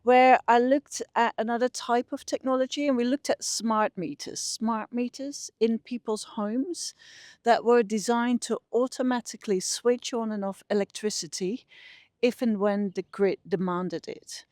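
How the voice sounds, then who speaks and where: A woman's voice: fairly high pitch, steady tone, measured pace, darkish tone, no echo, clean studio sound. A middle-aged woman with a Dutch accent, explaining her research conversationally as an interview guest. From Netherlands. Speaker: interview guest, behavioural scientist.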